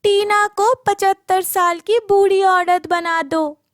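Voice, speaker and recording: A woman voicing a young village girl, wronged and vengeful, in a Hindi animated moral story. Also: extremely high pitch, slow pace, no echo, clean studio sound. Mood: scheming.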